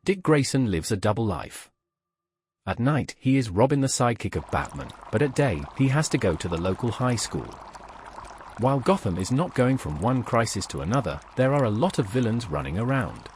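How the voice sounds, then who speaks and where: A man's voice: medium pitch, sing-song, normal speed, a little mellow, slight background noise. A man hosting a pop culture show, reading scripted explanatory narration.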